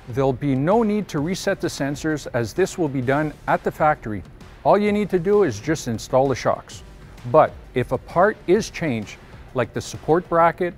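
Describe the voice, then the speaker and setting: Middle-aged man, studio presenter, slightly high voice, steady scripted delivery, faint music behind. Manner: steady, measured, reading a script. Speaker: technical trainer presenting. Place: indoor studio set.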